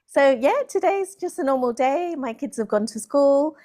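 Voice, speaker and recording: A woman, a tutor talking warmly and encouragingly to a student on a video call. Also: high-pitched, lively intonation, normal speed. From Kerala, India; raised in London. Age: 40s. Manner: friendly, encouraging, soft-spoken. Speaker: English tutor. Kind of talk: casual video call conversation.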